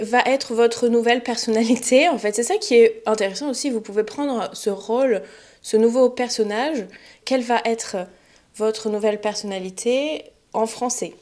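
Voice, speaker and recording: A young woman, a French teacher, giving a relaxed spoken lesson to learners, chatty and often pausing with fillers and restarts. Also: a little high. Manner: chatty, many fillers and self-corrections.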